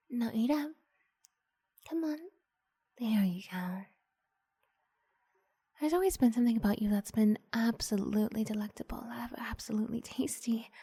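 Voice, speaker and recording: Woman playing a breathy, coaxing young vampire girl. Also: a little high, dry recording. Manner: hushed, halting, often slipping into breathy whisper. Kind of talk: ASMR roleplay, improvised.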